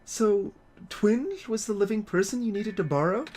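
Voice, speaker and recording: A woman's voice: medium pitch, moderate pace, a little mellow, soft background music, natural voice. A woman voicing an innocent, curious child traveller in a video game, polite and hesitant. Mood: hurt, uncertain. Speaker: let's play voice-over reader. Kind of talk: acted reading of game dialogue.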